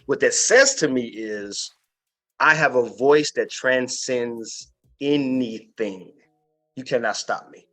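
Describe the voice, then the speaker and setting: A man's voice: mid-range voice, slow, fairly bright tone, soft background music. A man hosting an inspirational podcast interview, speaking earnestly and emphatically and repeating phrases for effect. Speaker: podcast host.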